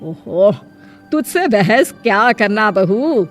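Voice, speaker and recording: A woman's voice: mid-range voice, very animated, takes it slowly, faint music behind. A woman playing a proud, domineering middle-aged mother-in-law who scolds pointedly in a cartoon family drama. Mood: reproachful.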